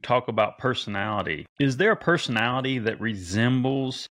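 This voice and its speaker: A man hosting an interview and asking questions in a relaxed, conversational way. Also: mid-range voice, moderate pace, no echo, clean studio sound. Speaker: podcast host.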